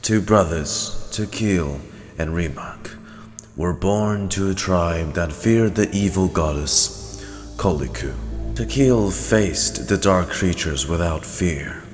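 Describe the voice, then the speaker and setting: A man performs a grave storyteller of old tragic legends, deep and very slow. The delivery is dramatic and portentous, in long reverb over clear music. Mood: solemn, dramatic.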